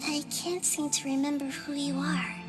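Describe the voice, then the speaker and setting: A small, curious young goddess, voiced by a woman speaks in a very high, childlike voice, soft and polite. Her words come earnest and gentle, with game music underneath. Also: flat delivery, moderate pace, natural voice. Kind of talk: game cutscene voice acting.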